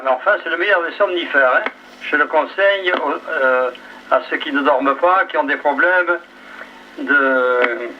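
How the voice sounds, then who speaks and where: Male radio amateur, joking and chatty, slightly high pitch, heavy static over the relay. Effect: two-way radio transmission. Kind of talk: casual radio chat with sign-offs. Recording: dull, noisy ham radio relay, distant.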